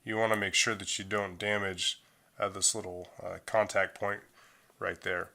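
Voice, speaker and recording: A man's voice: low-pitched, normal speed, vocal fry. A middle-aged man giving a casual, step-by-step phone repair tutorial at a workbench, talking loosely with plenty of fillers. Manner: relaxed, loose delivery with frequent fillers.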